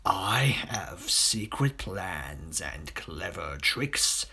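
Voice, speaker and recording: A man voicing a sly, boastful, greedy crocodile villain in a children's storybook, in a hissing half-whisper with drawn-out, gloating words. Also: sits low, very animated, sharp tone, slightly rough, voice pushed down, heavily acted. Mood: menacing, sly. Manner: slow, gloating, savouring menace.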